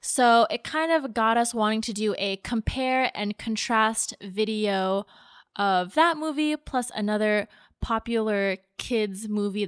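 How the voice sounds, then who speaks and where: A woman's voice: medium pitch, clear, resonant tone, no echo, studio quality. A woman hosting a podcast in a casual, conversational chat about films. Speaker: podcast host. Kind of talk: casual film discussion chat.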